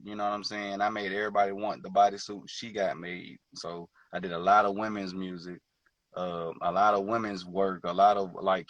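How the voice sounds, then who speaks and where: A relaxed man talking loosely over a video call, full of slang and filler phrases. His voice sits low yet comes across bright and forward. The sound is dry and close. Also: dry recording. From Detroit and Georgia, born in Hawaii. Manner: laid-back, slangy, many fillers and "you know what I'm saying". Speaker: guest musician. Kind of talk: casual interview chat.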